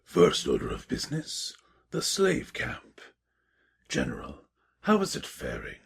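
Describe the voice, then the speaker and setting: A man playing a confident villain pony king, speaking slowly and formally in a fan fiction audio drama. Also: sits low, wildly swooping pitch, creaky, exaggerated voice. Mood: commanding. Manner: slow, formal, imperious.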